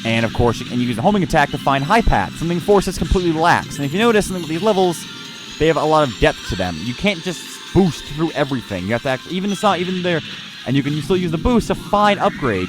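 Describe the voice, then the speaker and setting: A man's voice: on the high side, very animated, fast talker. A man giving casual gameplay commentary, rambling and often repeating or correcting himself mid-sentence. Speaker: let's play narrator reviewing gameplay. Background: game music under the voice.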